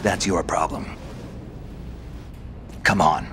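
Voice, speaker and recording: A deep, gravelly male veteran soldier from a video game. He is stoic and dry, speaking in short, weighty phrases like a reluctant mentor. A noticeable ambient rumble sits behind the voice. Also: steady tone, slow pace, full character voice. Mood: grave, resolute. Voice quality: gravelly, gruff. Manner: terse, dry, unflappable. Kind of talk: video game cutscene voice acting.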